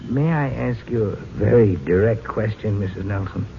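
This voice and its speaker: A man's voice: fairly low pitch, moderate pace, creaky. An actor playing a polite, wistful middle-aged married man in an old radio sitcom, speaking in an earnest, theatrical way. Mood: polite, hesitant. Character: henpecked married visitor, wistful, polite, delighted by mess. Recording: dull, noisy old radio recording.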